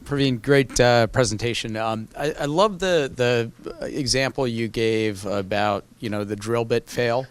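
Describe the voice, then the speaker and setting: Smooth-voiced man asking a rambling question. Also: mid-range voice, measured pace, hardly any pauses. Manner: rambling, many "um"s and "you know"s. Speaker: audience member asking a question. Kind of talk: question from the audience.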